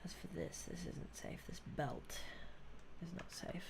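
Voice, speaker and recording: Soft, gravelly-voiced woman murmuring to chat. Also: on the low side, steady tone, unhurried, noisy background. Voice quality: relaxing voice. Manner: soft, murmuring, often half-whispered asides. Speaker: streamer drawing fan art. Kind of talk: casual live-stream chat while drawing. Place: at a desk at home. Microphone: desk microphone.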